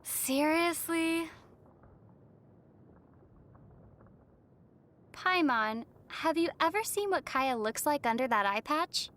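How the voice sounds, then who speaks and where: A woman's voice: high-pitched, expressive, a little slow, a bit bright. A woman playing a young, sensible traveler girl in a video game, with a wry, teasing manner. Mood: curious. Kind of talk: video game character dialogue. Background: music.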